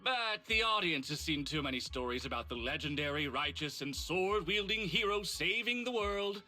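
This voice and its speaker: A high, piercingly bright male voice for an excitable film director in a game. He is effusive and theatrical, flattering and pleading with sweeping intonation. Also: moderate pace, soft background music. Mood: persuasive, effusive. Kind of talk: video game voice acting.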